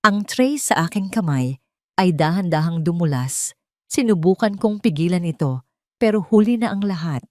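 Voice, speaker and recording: Lowish-voiced woman narrating a drama. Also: expressive, moderate pace, no room sound, clean studio sound. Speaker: storyteller. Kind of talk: dramatic first-person scripted narration.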